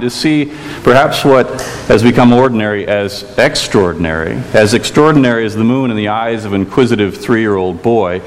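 Earnest preacher with a Chicago accent. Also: male voice, mid-range voice, big-room sound, low-quality recording. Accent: American, Chicago. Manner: storytelling, gentle and earnest, with filler "uh"s. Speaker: guest preacher, theology lecturer. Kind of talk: sermon. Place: church.

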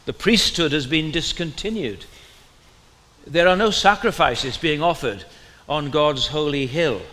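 Welsh preacher in a reverberant hall. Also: male voice, a little high, expressive, unhurried, sharp tone. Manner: conversational, asks the listeners questions. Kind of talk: sermon.

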